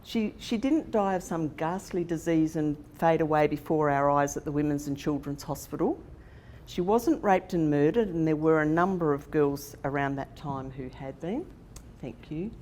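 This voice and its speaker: A woman's voice: deep voice, expressive, a little slow, a little mellow. A woman in her sixties, telling a candid personal story as a conference speaker. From Australia. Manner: plain-spoken, candid storyteller. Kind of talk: personal story talk to an audience. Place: conference room. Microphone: handheld microphone. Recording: echoey hall with faint noise.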